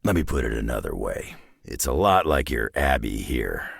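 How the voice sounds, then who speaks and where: A man voicing a gruff, cynical, battle-worn mutant brawler in a video game, with a gravelly, husky growl and a terse, dry tough-guy drawl. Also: extremely low pitch, very animated, moderate pace, no echo, full character voice. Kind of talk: video game character acting.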